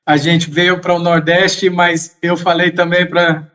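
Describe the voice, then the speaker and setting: Slow, high-voiced man telling a story. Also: little pitch movement. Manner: slow, measured, reflective, with occasional soft laughs. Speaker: podcast guest. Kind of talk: casual storytelling in an interview.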